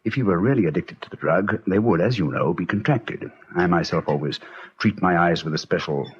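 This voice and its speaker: A man playing an assured, incisive British gentleman detective in an old radio drama, with a precise, theatrical delivery. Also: low-pitched, brisk, breathy edge. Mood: keen, deliberate. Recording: dull, lo-fi vintage radio recording.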